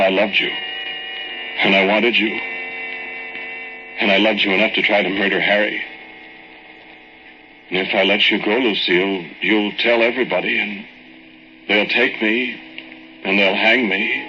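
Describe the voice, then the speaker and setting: Man playing a low-voiced, guilt-ridden radio-drama killer. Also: lightly acted. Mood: haunted, confessing. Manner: halting, repetitive, intimate delivery. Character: guilt-ridden male murderer narrating his dark confession. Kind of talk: 1940s radio drama acting, first-person narration. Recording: muffled old radio recording, music behind.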